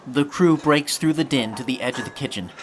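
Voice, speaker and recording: A man's voice: medium pitch, brisk. A man reading scripted narration for a sci-fi audio drama, with some ambient noise behind him.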